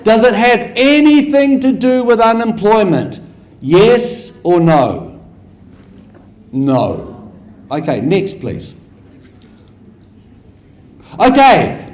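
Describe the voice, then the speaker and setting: A man with a New Zealand accent lectures slowly to a hall audience, his voice sitting a little high and ringing off the room's echoey walls. He speaks in rhetorical runs of repeated phrases and keeps quizzing the listeners directly. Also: darkish tone. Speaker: guest lecturer. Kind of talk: conference lecture with audience questions.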